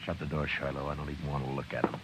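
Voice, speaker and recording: An actor playing a stern, weary frontier marshal who gives curt, clipped commands. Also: male voice, a bass voice, flat delivery, very fast, a bit bright. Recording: muffled, hissy 1950s radio broadcast.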